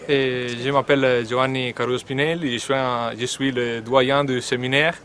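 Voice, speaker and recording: A man in his late twenties from Italy, a native Italian speaker, introducing himself slowly as a seminarian. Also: mid-range voice, level tone, fairly bright tone. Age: 20s. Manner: slow, searching for words.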